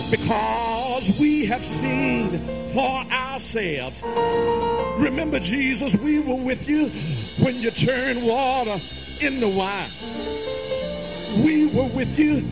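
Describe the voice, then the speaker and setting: A man preaching a fervent, musical sermon in a raspy, gravelly voice, in short, emphatic, repeated phrases that slide toward singing and shouting. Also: extremely high pitch, rough recording. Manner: emphatic repetition in short, drawn-out phrases with calls to the congregation.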